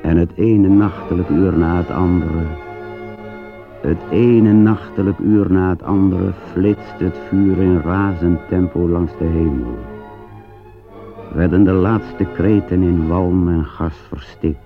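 A man narrating a war drama for radio in a grave, measured, declamatory manner. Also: low-pitched, steady tone, phone-quality sound. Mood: grave, solemn. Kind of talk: solemn scripted radio play narration. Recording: phone-thin old radio recording. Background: music.

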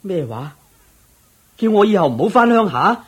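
A man's voice: sits high, very animated, moderate pace, husky, exaggerated voice. A man playing an exasperated, henpecked merchant in a radio drama, pleading and placating in Hong Kong Cantonese. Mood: dismayed. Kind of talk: theatrical radio drama acting.

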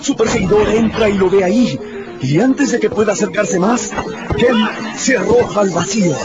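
A man narrating a scripted story for radio in a dramatic storytelling style. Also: on the high side. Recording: dull sound with music behind.

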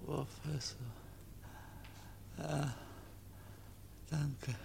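An actor playing a gentle dying young man in a radio play, exhausted and feverish, speaking in halting, broken phrases. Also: a little high, level tone, gravelly, full character voice. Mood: weak, forgiving. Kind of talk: German radio play acting. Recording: noisy old radio drama recording.

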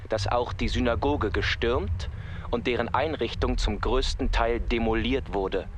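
An actor playing a smug, formal 1930s newspaper reporter, reading reports precisely in a radio play. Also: male voice, mid-range voice, a little hiss or hum, natural voice.

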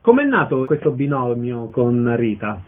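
A man's voice: medium pitch, big pitch swings, unhurried. A man hosting a radio interview, putting questions to guests and announcing event dates. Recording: thin phone-like radio sound.

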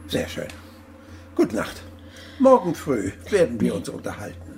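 A domineering old miser in a radio play. His gravelly voice swings widely as he grumbles and sneers, with faint noise behind him. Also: mid-range voice, wildly swooping pitch, unhurried, darkish tone, heavily acted. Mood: irritated, commanding. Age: older. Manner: grumbling, sarcastic, theatrical. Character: miserly, domineering older husband and uncle, gruff and sly. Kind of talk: German radio drama acting.

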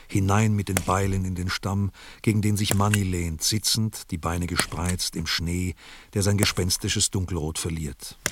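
A man's voice: low-pitched, background noise. A man narrating a grim village tale in a radio play with grave, dramatic delivery.

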